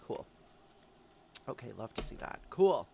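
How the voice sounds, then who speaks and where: A man's voice: mid-range voice, very animated, a little slow, gravelly, slight background noise. A man giving a chatty tarot forecast, stuffy and congested from allergies, talking straight to his viewers. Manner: chatty, warm-hearted, talks straight to viewers. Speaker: tarot reader. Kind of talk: casual monthly tarot forecast.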